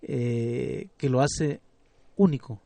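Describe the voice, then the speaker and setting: A middle-aged man from Guerrero, Mexico, speaking as a guest on a radio show, unhurried and hesitant, with frequent fillers. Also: medium pitch, creaky, dark tone, no room sound. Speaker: artistic director of a family music group.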